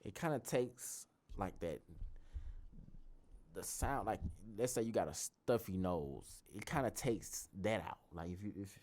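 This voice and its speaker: A man with a bassy voice talking loosely through a casual tutorial, often restarting his sentences. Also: animated, a little slow, darkish tone. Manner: loose, rambling explanations with frequent restarts. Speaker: tutorial host. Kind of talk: casual screen-recorded tutorial.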